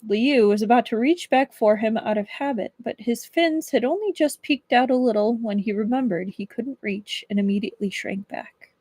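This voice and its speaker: A woman livestreaming as a book reader, with expressive storybook narration and casual chat. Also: a little high, moderate pace, few pauses, dry recording.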